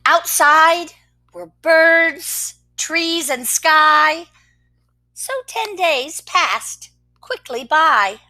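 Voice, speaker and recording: A woman reading a picture book aloud to children, expressive, with soft whispered asides between the verses. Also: high voice, very slow, rough recording. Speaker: children's story reader.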